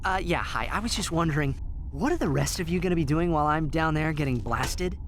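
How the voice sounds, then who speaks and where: A young, plucky video game hero, voiced by a man, with a boyish and wry delivery. His pitch moves around a lot, and there is faint noise behind him. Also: expressive, brisk, natural voice. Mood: wry, uneasy. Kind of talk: video game character acting.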